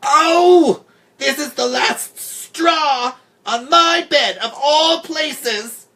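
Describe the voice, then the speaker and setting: A man's voice: extremely high pitch, drawn-out words, sharp tone, voice pushed up, full character voice. A man voicing a furious cat-hating woman in an audiobook story, screaming and howling her demands. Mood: outraged screaming. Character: furious shrieking woman who hates cats.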